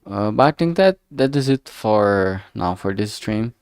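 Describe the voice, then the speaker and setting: Slow-talking man with a creaky, lowish voice. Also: lively intonation, darkish tone, no echo, studio quality. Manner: hesitant, musing, trailing off mid-thought. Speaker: streamer making a visual novel. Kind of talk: casual livestream chat, thinking aloud while drawing.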